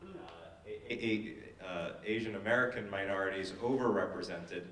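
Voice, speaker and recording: A man giving political remarks on a public panel, halting and repeating words as he goes. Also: lowish voice, a bit bright. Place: indoor event room. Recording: echoey room, distant mic, faint noise.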